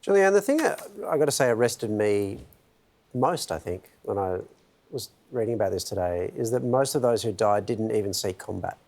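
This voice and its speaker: A man's voice: fairly low pitch, expressive, normal speed, darkish tone. A man with an Australian accent, a TV presenter interviewing a guest in a casual, direct way. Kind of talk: live TV interview.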